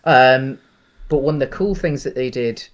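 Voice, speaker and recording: A man with a middle-pitched voice co-hosts a film podcast. He talks casually and hesitantly, feeling his way through each point in a halting delivery. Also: low-quality recording. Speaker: podcast co-host.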